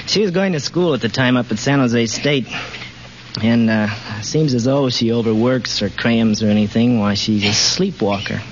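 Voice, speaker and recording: A man's voice: medium pitch, moderate pace. A man answering a quiz host casually and a little hesitantly, as a contestant on a 1940s radio show. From United States, California. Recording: dull, noisy 1940s radio recording.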